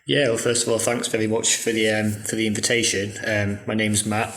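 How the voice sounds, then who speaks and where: A man's voice: on the low side, little pitch movement, brisk, a bit bright, rough recording. A man, a football journalist talking hesitantly with many ums in an interview. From Manchester, England. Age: 30s. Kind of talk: casual interview talk.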